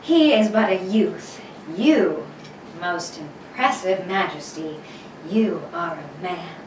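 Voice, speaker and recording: A woman voicing a devoted, flattering young heroine with a breezy, half-laughing delivery in a comic table read of an old radio serial. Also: on the low side, slow pace, roomy sound, recorded from a distance, a little hiss or hum, poor audio. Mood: coy.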